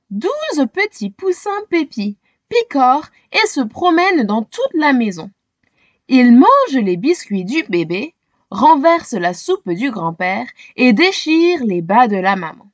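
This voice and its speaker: A woman narrates a children's story with a high voice and big swings in pitch. She speaks expressively and addresses young listeners in a dramatic storyteller's way. The sound is close and dry. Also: no echo, highs cut off. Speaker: storyteller reading a children's book aloud.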